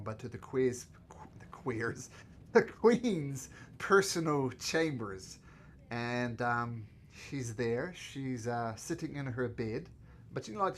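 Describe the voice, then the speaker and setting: A man with a New Zealand accent narrates a tabletop game as its game master. He talks in a loose, chatty way at a medium pitch. A faint hiss sits under the voice. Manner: relaxed, chatty, laughs at own slips.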